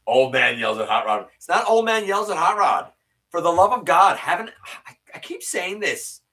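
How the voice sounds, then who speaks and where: Bright-voiced man hosting a live chat. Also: sing-song, moderate pace, recorded from a distance. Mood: ranting. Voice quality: sometimes a little raspy. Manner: opinionated, ranting with rising pitch when worked up. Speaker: host reading viewer comments. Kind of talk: casual live-stream chat.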